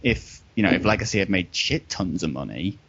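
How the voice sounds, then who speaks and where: A man's voice: low-pitched, expressive, fairly quick, fairly bright tone, slightly rough. A man on a podcast panel, giving opinionated, rambling takes on films in casual chat with some swearing. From United Kingdom. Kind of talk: casual film discussion. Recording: dull lo-fi voice chat.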